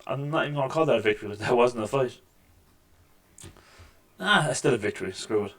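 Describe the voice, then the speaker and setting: A man with a deep voice hosting a casual let's-play, making wry, joking remarks over the gameplay at a desk microphone. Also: fast talker, fairly bright tone. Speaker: gaming channel host. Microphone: desk microphone with mixer.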